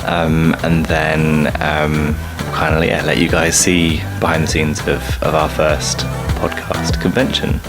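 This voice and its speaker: Guy with a low voice doing a chatty vlog, bit halting, music really loud behind him. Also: level tone. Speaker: theatre director vlogging the day. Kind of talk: casual vlog talk to camera.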